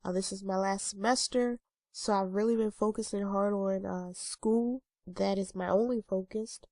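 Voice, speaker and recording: A woman's voice: medium pitch, steady tone, normal speed, a little mellow, dry recording. A young woman in her twenties with a stuffy, congested nose, chatting casually to her viewers with lots of ums and uhs. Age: 20s. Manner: rambling, many ums and uhs. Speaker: vlogger. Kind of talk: casual talking-head vlog.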